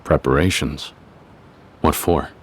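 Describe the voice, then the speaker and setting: Deep-voiced stoic tough guy. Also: fairly even intonation, takes it slowly, slightly rough, heavily acted. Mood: calm, weary. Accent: American. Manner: terse, restrained. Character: stoic, plain-spoken tough man in hiding. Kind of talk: video game English dub acting.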